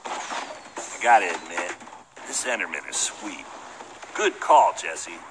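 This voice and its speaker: Easygoing, animated young teammate. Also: male voice, low voice, slow, noisy background. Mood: pleased. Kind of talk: video game voice acting.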